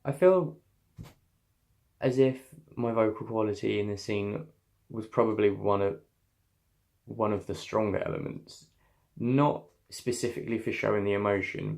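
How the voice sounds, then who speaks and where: A young man with a slightly deep, heavily gravelly voice talks through his scene work. His delivery is thoughtful and halting, full of fillers and restarts as he searches for words. He sounds like a drama student reflecting on his practice. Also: darkish tone. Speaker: acting student.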